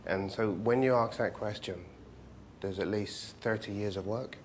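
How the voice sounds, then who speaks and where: A man's voice: fairly low pitch, unhurried, a little hiss or hum. A man in his forties from England, a practitioner of Chinese medicine, talking casually in an interview at his clinic, explaining his work with light humor. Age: 40s.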